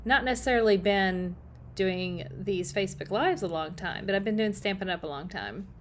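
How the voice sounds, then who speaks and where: Chatty woman, a bit hoarse. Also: medium pitch, moderate pace, a little hiss or hum. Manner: chatty, upbeat, greets viewers by name. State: slightly hoarse. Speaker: paper-crafting demonstrator. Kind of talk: casual live-stream demo.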